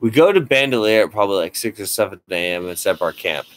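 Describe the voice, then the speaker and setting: A man's voice: fairly low pitch, animated, fast talker, slight background noise. A man co-hosting a casual podcast, reading a scary story aloud with swearing and dry asides. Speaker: podcast co-host.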